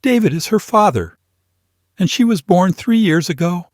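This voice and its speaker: A man's voice: sits high, animated, normal speed, no room sound, clean studio sound, voice pushed up. A man voicing a panicked, evasive wife who pleads her case in an audio story. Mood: tearful pleading. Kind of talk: audio story character dialogue.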